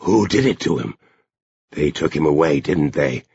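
A man's voice: very deep voice, lively intonation, moderate pace, gravelly, darkish tone, slightly rough, no echo, dull audio, exaggerated voice. A man voicing an anxious, naive and easily confused male character in a story, restless and pleading. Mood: despairing.

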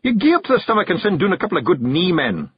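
A bumbling, bearded Scottish stranger from a storybook, pitched high with a rough growl. He blusters and bosses, stumbling over his words and correcting himself. The delivery swoops about, and the audio is slightly dull. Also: very animated, a little hiss or hum. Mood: grumbling. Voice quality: growly, snarling. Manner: blustering, halting, self-correcting, bossy. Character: bearded bumbling bossy stranger, tiny folk disguised as one man.

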